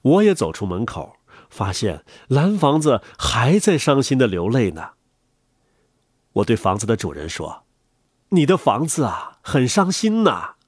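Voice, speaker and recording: A man reads a children's story aloud in a gentle, animated way. His voice is husky and its pitch moves a lot. The sound is dry and close, with soft music underneath. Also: measured pace, no room sound. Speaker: storyteller reading to children, the father. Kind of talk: expressive children's story reading.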